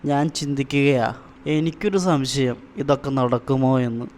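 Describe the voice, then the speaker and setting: A man's voice: medium pitch, steady tone, a little slow, darkish tone, lightly acted. A man voicing a dim-witted, good-natured animal sidekick in a cartoon comedy, sounding earnest and puzzled. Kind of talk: Malayalam children's cartoon comedy acting.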